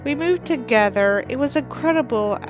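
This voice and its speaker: A woman's voice: high voice, lively intonation, moderate pace, over music. A woman reading a novel dramatically as a self-absorbed, brooding young narrator, earnest and melodramatic.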